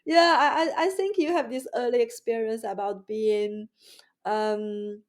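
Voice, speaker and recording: A woman with a Mandarin Chinese accent, a guest in a podcast interview, speaking haltingly with many restarts and fillers. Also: fairly high pitch, steady tone.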